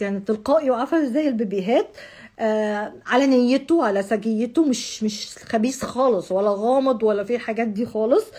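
A hoarse, husky Egyptian woman rattles off a horoscope reading at a quick clip. Her voice sits fairly high and sounds rough and breathy. She talks straight to the viewer in a chatty way and repeats herself. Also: dark tone. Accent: Egyptian Arabic. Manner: chatty, repeats words, addresses the viewer directly, gestures with hands. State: hoarse from shouting. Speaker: astrology channel host. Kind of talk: casual monthly horoscope reading. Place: home room with curtain backdrop.

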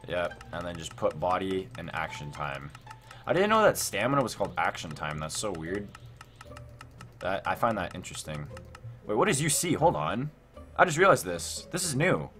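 A man hosting a casual gaming let's play, thinking aloud in an excitable, repetitive way. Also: deep voice. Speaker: gaming video host. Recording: music behind the voice.

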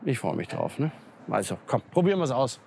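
A man's voice: mid-range voice, brisk, gravelly. A German man hosting a restaurant visit, talking casually and asking "ne?" a lot, recorded on a lapel mic. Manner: chatty, lively, frequent "ne?" tags. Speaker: TV restaurant critic host. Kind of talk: casual on-camera chat. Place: restaurant indoors and courtyard.

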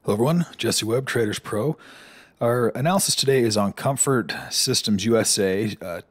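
A man's voice: medium pitch, moderate pace, slight background noise. A man hosting a stock analysis lesson, talking casually through charts on screen, with frequent fillers and restarts.

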